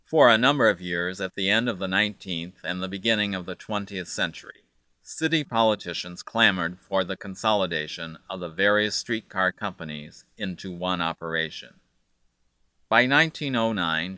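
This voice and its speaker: A man narrates a documentary in a low, bright-edged voice, steady and factual. The studio sound is dry, with soft music under him. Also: clean tone, no echo. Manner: measured, factual delivery.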